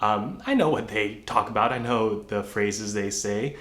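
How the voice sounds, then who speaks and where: A man who grew up in Indonesia, telling a story in a relaxed, self-deprecating vlog while out on a run. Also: on the low side, unhurried, echoey room. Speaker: vlogger. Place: outdoors on a nice day.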